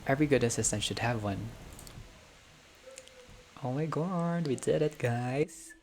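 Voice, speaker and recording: A video game player reading a visual novel aloud as narration, with casual personal asides and expressive delivery. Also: medium pitch, unhurried, a little hiss or hum. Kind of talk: playthrough narration with casual reactions. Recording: music behind the voice. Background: game music.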